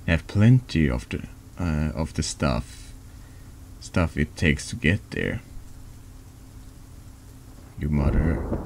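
Very deep, gravelly man reading slowly. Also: darkish tone, a little hiss or hum. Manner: halting reading, stumbling over words. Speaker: streamer reading a text adventure game aloud. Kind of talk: slow narrated read-aloud of horror story dialogue, ASMR-like.

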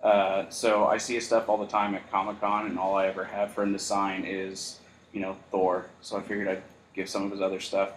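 A fairly low-voiced man hosts a casual podcast chat, cracking self-deprecating jokes as he goes. His voice rings in a roomy space with a little background hiss. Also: completely flat delivery, brisk. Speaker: podcast host. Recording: roomy echo.

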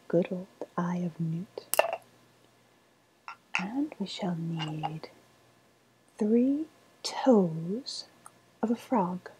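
A woman playing a sly woodland witch in a softly spoken ASMR roleplay, half-whispering in a deliberate, sweetly ominous manner. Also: on the low side, a little hiss or hum, poor audio. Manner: slow, deliberate, hushed, ominous.

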